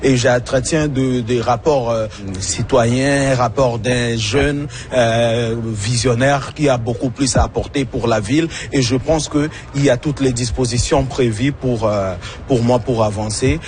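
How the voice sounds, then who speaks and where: A man's voice: mid-range voice, steady tone, unhurried, few pauses, a little mellow, a little hiss or hum. A man, answering interview questions earnestly and repeating words as he searches for them. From Democratic Republic of the Congo. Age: 30s. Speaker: municipal candidate.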